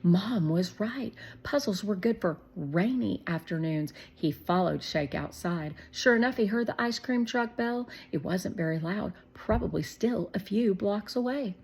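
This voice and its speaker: A woman narrating a children's storybook in an expressive, deliberate way. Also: medium pitch, slightly rough. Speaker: read-aloud narrator.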